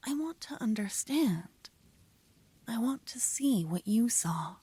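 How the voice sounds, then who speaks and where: A woman voicing a lovestruck young female mage in a soft, breathy, often whispered voice, tenderly coaxing her lover in a romantic ASMR roleplay. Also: fairly high pitch, expressive, slow, no room sound, full character voice.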